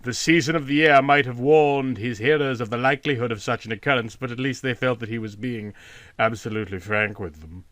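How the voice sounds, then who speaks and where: A man reading a literary short story aloud as an expressive narrator. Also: medium pitch, brisk, no echo.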